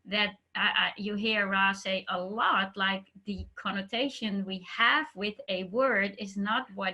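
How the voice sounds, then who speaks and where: A woman's voice: mid-range voice, bright, forceful tone, low-quality recording. A woman whose first language is not English, chatting casually on a panel, hesitating and searching for words. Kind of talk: casual group discussion.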